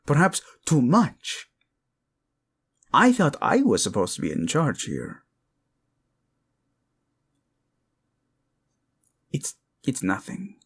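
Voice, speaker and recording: Casting: male actor for lovesick, protective king, Spanish accent, breathy husky tone, unhurried intimate delivery for audio romance. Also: mid-range voice, wildly swooping pitch, exaggerated voice. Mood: displeased, flustered. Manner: tender, halting, dramatic, sprinkles in endearments. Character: protective young king, tender, lovesick, proud, playful romantic. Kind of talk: intimate romantic audio drama acting addressed to listener.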